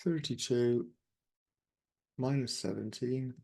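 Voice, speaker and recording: A man with a croaky voice, working through exam maths questions aloud step by step as a tutor. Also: mid-range voice, slow pace. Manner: steady step-by-step, talks himself through sums.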